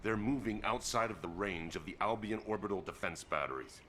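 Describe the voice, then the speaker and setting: A low-pitched, gruff male soldier from a sci-fi game cutscene, played by an actor. He speaks briskly and plainly, firm yet encouraging, with some noise behind him. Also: fairly bright tone, close to an everyday voice. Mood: urgent. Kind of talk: video game cutscene acting.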